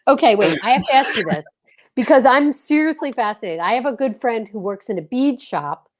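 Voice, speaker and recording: A woman's voice: fairly high pitch, animated, brisk. An American woman hosting a casual chat, talking in an effusive, emphatic way. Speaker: host.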